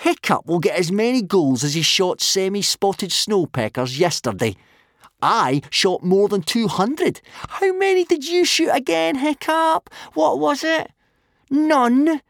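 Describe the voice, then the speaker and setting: A man's voice: high-pitched, very animated, unhurried, creaky, dry recording, studio quality, voice pushed up, exaggerated voice. A man voicing a boastful young Viking bully in a children's audiobook, jeering and mocking.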